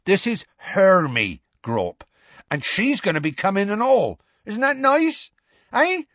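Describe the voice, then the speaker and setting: A man voicing a gruff, big-hearted half-giant gamekeeper who shouts up and coaxes. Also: sits high, very animated, slow, no echo, lo-fi sound, pitched above the performer's own voice, heavily acted. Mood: coaxing. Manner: shouting up, coaxing and scolding.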